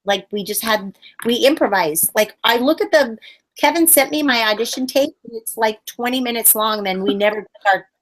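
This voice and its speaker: A middle-aged woman puppeteer telling stories in a casual interview, bubbly and animated, acting out quotes in high voices. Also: moderate pace, rough recording.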